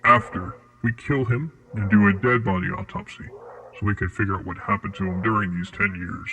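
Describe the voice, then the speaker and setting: A man playing a cold, formal interrogator at a secret facility in a horror audio drama, with a husky, measured delivery. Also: fairly low pitch, big pitch swings, pushed and bright, a little hiss or hum, exaggerated voice, rough recording. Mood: businesslike, pressured.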